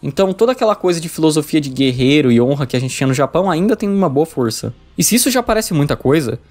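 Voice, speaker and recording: A man's voice: on the high side, a little mellow. A man with a Brazilian accent giving scripted narration for a video essay.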